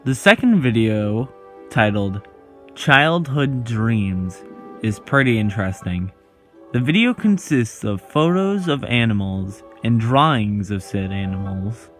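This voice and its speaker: A man narrating a scripted video essay as its host, pausing dramatically between short phrases. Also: lowish voice, animated, faint music behind.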